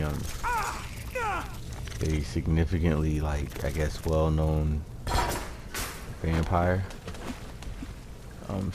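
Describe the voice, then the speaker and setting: Very deep-voiced man, slow gameplay commentary. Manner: halting, thinking aloud. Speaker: gaming video host. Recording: clear background game noise. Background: video game ambience.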